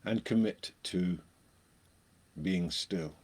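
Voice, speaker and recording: A man leading a guided meditation, speaking in short phrases with long pauses between them. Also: deep voice, darkish tone.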